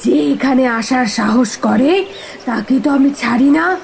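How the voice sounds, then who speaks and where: Lady doing an angry evil mermaid for a kids cartoon, high creaky voice, threatening, bit of background noise. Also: a little slow, darkish tone. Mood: angry, accusing. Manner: threatening, accusatory. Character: fierce, menacing water mermaid guarding her forest spring. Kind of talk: dramatic animated fairy tale acting.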